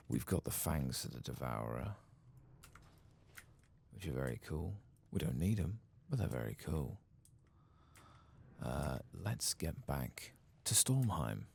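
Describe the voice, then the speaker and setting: A man with an extremely deep, husky voice speaks softly in the ASMR style of a game streamer. His gravelly murmur is unhurried, yet it moves up and down a good deal as he talks himself through the play. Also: sing-song. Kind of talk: soft-spoken ASMR game playthrough.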